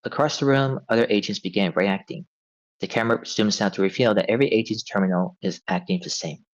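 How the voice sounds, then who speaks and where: Low-voiced man narrating, dull sound. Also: fast. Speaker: script narrator. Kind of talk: reading stage directions at a table read. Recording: dull, band-limited audio.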